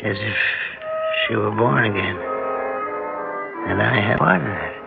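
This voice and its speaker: An actor playing a dying, weary middle-aged doctor, wry and tender, in a 1960s radio drama. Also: male voice, lowish voice, gravelly. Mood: weary, reverent. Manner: slow, wry, wistful. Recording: dull old radio recording with music.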